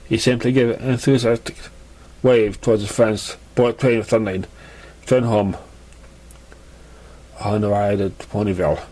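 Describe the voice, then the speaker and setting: A man's voice: mid-range voice, dark tone. A man with a mid-range voice narrates a piece of fan fiction. He reads as an amateur, tripping over words now and then and going back to repeat them. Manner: stumbling, often restarts misread words. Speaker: amateur story reader.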